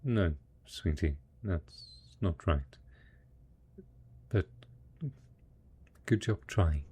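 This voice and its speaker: A man plays a devoted husband in an intimate post-apocalyptic audio roleplay. His voice is low, soft and husky, with a dark, relaxed tone. He speaks very slowly and a little hesitantly, patiently coaxing his listener as one would a child. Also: heavily acted. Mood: gentle, coaxing. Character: devoted, patient husband tending zombie spouse after apocalypse.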